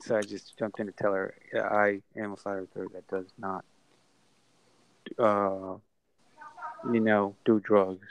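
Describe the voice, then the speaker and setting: A man's voice: fairly low pitch, little pitch movement, a little slow, vocal fry, long pauses, smooth, poor audio. A man chatting casually in an online group hangout, asking lots of questions. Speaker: regular hangout participant.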